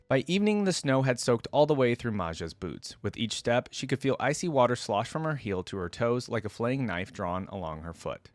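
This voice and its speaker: A man's voice: medium pitch, no room sound. A man talking casually as a video creator, moving between chatty reaction commentary and reading a story aloud.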